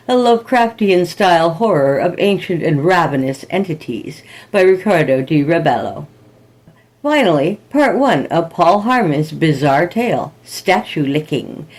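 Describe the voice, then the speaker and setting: A woman's voice: lowish voice, darkish tone. A woman hosting a horror podcast, reading a scripted introduction in a playfully spooky, theatrical manner.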